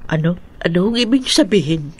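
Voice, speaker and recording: A woman's voice: lowish voice, expressive, fast, slightly rough. An actress playing a worried, protective mother who speaks with tearful emotion. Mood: emotional.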